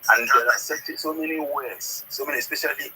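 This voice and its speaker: Bright, forceful southern Nigerian man, noisy line. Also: fairly high pitch, steady tone. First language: Ika. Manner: halting, repeats words, checks understanding. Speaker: caller. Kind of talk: explaining history and language on a call-in. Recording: noisy call audio.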